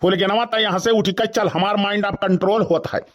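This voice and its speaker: A man performs a grumpy village father for a comedy cartoon, in a distinctly high male voice. His Awadhi accent is rustic, and he speaks in a scolding, irritable way. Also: steady tone, brisk, natural voice. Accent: Awadhi, eastern Uttar Pradesh.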